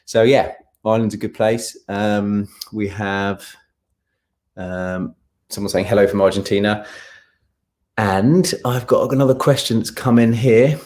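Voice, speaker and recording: Low-voiced English man chatting casually. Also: steady tone, unhurried, darkish tone. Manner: hums filler sounds while scanning for comments. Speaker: travel content creator and live stream host. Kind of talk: casual live Q&A chat, reading out viewer questions.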